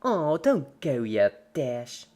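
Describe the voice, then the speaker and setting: Sadistic female cartoon pony, put on by a story reader: high, chirpy, very animated, playful menace throughout. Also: very slow. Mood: playful, teasing. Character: giggly, sadistic female party pony, relentlessly cheerful.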